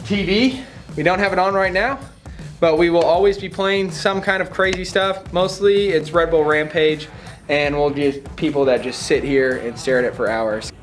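A man's voice: fairly high pitch, unhurried. A man in his twenties giving a casual, slangy, enthusiastic product tour to camera inside a shop. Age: 20s. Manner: laid-back, slangy, enthusiastic. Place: indoors in a bike shop. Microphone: camera a few feet away. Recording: roomy echo, distant mic, music behind.

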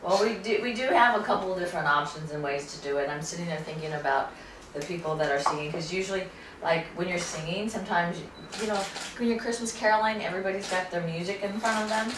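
Woman, casual chatter, slightly low voice, echoey kitchen, audible background noise. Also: fast, far from the mic. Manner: chatty, laughing, while chopping vegetables. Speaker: cooking show co-host. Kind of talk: casual live cooking chat. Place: home kitchen, indoors. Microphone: distant camera mic. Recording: roomy kitchen sound from afar with noise.

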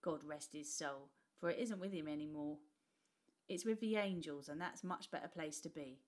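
A woman reading a storybook aloud, putting on a rough, mocking old widow of the London poor who talks coarse and brash. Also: deep voice, normal speed, darkish tone, close to an everyday voice. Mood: scornful. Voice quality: coarse, scoffing delivery with dropped sounds.

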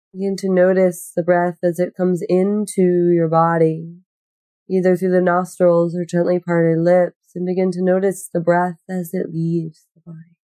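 A woman guiding a meditation in a gentle, unhurried, soothing manner with long pauses. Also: lowish voice, no echo. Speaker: yoga nidra meditation guide. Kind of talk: guided meditation.

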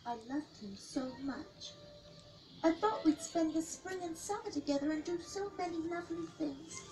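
A woman voicing a gentle, motherly young female mouse in a storybook, soft and easily worried. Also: very high voice, lively intonation, normal speed, recorded from a distance, a little hiss or hum, poor audio. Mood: sad. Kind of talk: storybook character acting. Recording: music behind the voice.

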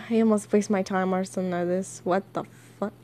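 A young woman giving casual, halting, giggly commentary as she reacts to comics as a video creator. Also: medium pitch, steady tone, a little mellow.

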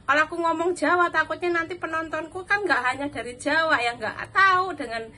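A woman's voice: very high voice, a little fast, sharp tone. A woman in her thirties from Java with a Javanese accent, chatting casually to her phone camera while eating breakfast in a kitchen, laughing often. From Java, Indonesia. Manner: chatty, laughs often, mimics others' voices. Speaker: domestic worker vlogger. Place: large bright kitchen at a counter, indoors. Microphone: phone camera on the table.

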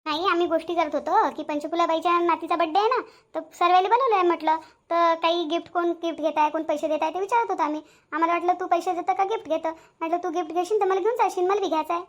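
Very high, fast-talking Varhadi village woman. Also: fairly even intonation. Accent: Varhadi, Vidarbha. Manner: colloquial, exclamatory village chatter. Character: chatty, gossipy village women in a cartoon. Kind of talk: acted dialogue for a cartoon village story.

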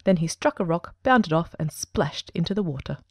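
A woman playing a composed, precise male detective in a dramatic audiobook, speaking in a measured, formal and articulate way. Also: fairly low pitch, expressive, no room sound. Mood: measured, grave.

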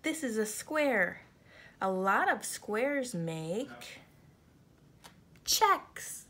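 A woman reading a picture book aloud for children, addressing them as friends and pausing before key words. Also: fairly high pitch, sing-song, bright, forceful tone. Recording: clear background noise, home recording. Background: background noise.

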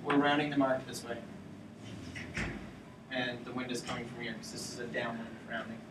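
A man's voice: mid-range voice, steady tone, moderate pace. A young man giving a casual talk on sailing rules to his college team, explaining things loosely with fillers. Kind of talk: informal lecture to a student sailing team. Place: lecture classroom with a projector screen. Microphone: far camera at the back of the room. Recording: roomy classroom from far off, with background noise.

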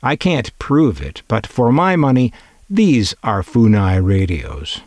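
A man with a fairly low voice reads scripted narration for a hobby video. His intonation moves around a lot, giving a wry, opinionated delivery with dry humor. The sound is close and dry, with very little room. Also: very animated, slow, darkish tone, no room sound. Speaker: presenter of a collectors' video.

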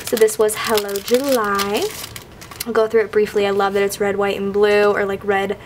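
A young woman chats eagerly through a tabletop haul, piling on fillers and gushing over every item. Her voice is a little worn from a recent illness, set at a middle pitch. A faint noise sits under the recording. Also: flat delivery. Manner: gushing, fast filler-heavy enthusiasm. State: recovering from illness, losing her voice. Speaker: planner sticker haul vlogger. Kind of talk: casual haul show-and-tell. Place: indoors at a table, hands-only overhead camera.

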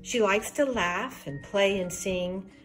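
An older American woman hosting storytime for children, expressive and sing-song as she talks and reads aloud. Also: mid-range voice, takes it slowly, soft background music, low-quality recording. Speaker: children's storytime host and reader. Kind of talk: reading a rhyming picture book aloud to children.

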